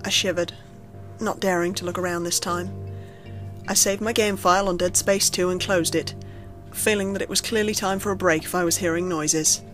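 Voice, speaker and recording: A woman reading a scripted first-person horror story in a measured, suspenseful storytelling manner. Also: fairly low pitch, fairly even intonation, fairly bright tone. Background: background music under the narration.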